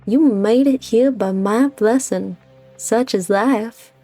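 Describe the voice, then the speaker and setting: Woman acting a slow, mellow, commanding mentor. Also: fairly high pitch, no echo, faint music behind. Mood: composed, pleased. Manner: measured, coolly condescending. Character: composed, commanding female mentor testing her protégée. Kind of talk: scripted game fan-dub acting.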